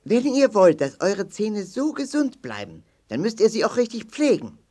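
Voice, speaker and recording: A woman's voice: mid-range voice, big pitch swings, moderate pace, dark tone. A woman playing a spirited, clever animal who teaches tooth care in a children's audio play, instructive and emphatic. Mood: eager, instructive.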